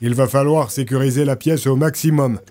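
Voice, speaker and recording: A man's voice: mid-range voice, steady tone, a little fast, warm tone, close to an everyday voice. A man voicing a firm, businesslike police captain in a narrated story.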